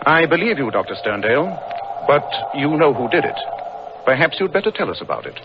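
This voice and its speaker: Through heavy noise on a muffled old radio recording comes a British detective, fairly high-voiced and very animated. He is commanding and coolly deductive, with crisp, theatrical authority. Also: fairly quick. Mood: measured, grave. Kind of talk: 1940s radio drama acting.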